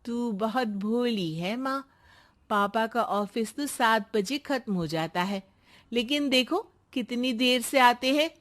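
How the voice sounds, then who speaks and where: A woman's voice: a little high, slow. A woman playing a scheming, falsely sweet young daughter in a moral story, with a wheedling way of talking. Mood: sly coaxing. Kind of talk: acted moral story dialogue.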